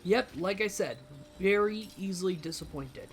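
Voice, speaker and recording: A woman's voice: medium pitch, normal speed, faint music behind. Dry, sardonic woman gamer. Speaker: game player. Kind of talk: in-character gameplay commentary.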